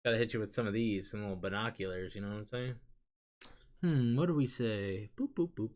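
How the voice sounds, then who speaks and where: A young man chatting loosely with his viewers, rambling and trailing off into quiet asides. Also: lowish voice, brisk. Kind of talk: casual rambling chat.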